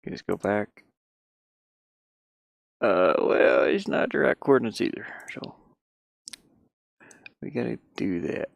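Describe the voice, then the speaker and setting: Man, lowish pitch, heavy vocal fry, casual think-aloud commentary, halting muttered phrasing, dry close sound. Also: very animated, no room sound. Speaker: hobby game developer.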